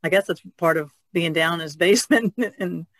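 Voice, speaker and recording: Creaky-voiced woman chatting casually. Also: lowish voice, brisk, a bit bright. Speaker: podcast panelist. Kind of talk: casual chat.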